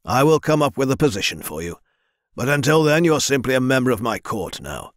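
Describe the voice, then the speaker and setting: A man voicing a stern, powerful lord who speaks in a measured, formal way. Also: mid-range voice, sing-song, no echo, clean studio sound, natural voice.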